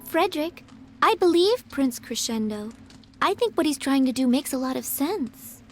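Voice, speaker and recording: An earnest, gentle young noblewoman with a high, polite, sincere voice. Faint game music sits behind her. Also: lively intonation, moderate pace. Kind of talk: game cutscene voice acting. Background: game soundtrack music.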